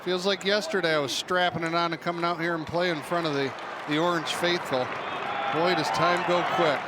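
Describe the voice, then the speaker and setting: Male analyst, forties, slightly high voice, chatty game commentary amid a roaring, noisy stadium crowd. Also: steady tone, brisk. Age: 40s. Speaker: color commentator, former lacrosse player. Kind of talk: conversational sports color commentary. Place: indoor sports dome. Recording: loud arena crowd noise behind voice. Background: loud crowd and stadium ambience.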